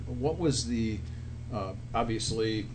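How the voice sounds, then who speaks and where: A man's voice: medium pitch, slow. A man hosting a radio show, interviewing guests in a conversational style. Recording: background noise and hum.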